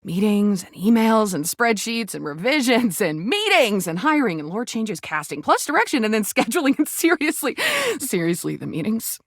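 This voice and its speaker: High-pitched theatrical goofball guy. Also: very animated, moderate pace, hardly any pauses, fairly bright tone, dry recording, clean studio sound, exaggerated voice. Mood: flustered, chatty. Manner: campy, theatrical, playful baby talk. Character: hyperactive goofball man, a sassy theatrical game mascot.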